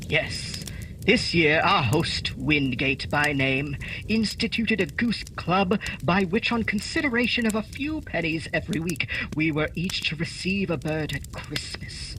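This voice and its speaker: Radio play guest role: male actor as meek courteous gentleman, raised pitch, slight rumble. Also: measured pace, rough recording. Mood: grateful, earnest. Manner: formal, courteous. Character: humble, grateful, courteous family man.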